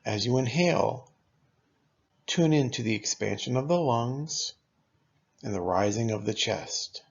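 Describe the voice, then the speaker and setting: A man leading a guided meditation as a teacher, speaking in a calm, unhurried, instructional way with long pauses. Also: medium pitch, breathy edge, dull audio.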